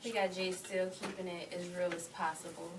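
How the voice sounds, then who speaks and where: A woman from New co-hosting a radio show, husky from a cold and speaking frankly. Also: lowish voice, little pitch movement, normal speed, echoey room, distant mic, noisy background. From New Orleans. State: getting over a cold, husky. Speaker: radio co-host. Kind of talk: casual radio banter.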